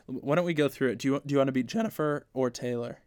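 A man's voice: mid-range voice, fast, clean tone, no echo, clean studio sound. A man hosting a casual fan podcast, chatty and playful, laughing often. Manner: playful, laughs often, animated when quoting. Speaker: podcast host.

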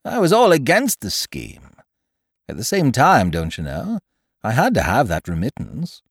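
A man reading aloud, voicing a hard-up young gentleman who talks in a gloomy, rueful, chatty way. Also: medium pitch, wildly swooping pitch, a little slow, vocal fry, slightly rough, no echo, studio quality, heavily acted.

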